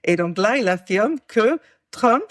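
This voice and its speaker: A woman's voice: mid-range voice, expressive, unhurried, no room sound, clean studio sound. A woman in her forties casually recounting a case to a co-host, with frequent fillers, restarts and wry asides. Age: 40s. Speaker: true-crime podcast co-host telling the case. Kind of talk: casual storytelling chat.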